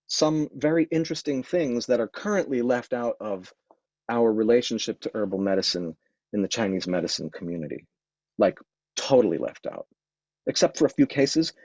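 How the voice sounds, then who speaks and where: A man's voice: mid-range voice, a little mellow, dry recording. A man giving an informal lecture on Taoist medicine. He has a cold and sniffles, and he talks thoughtfully with frequent ums and restarts. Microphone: microphone. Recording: dull, dry lo-fi sound.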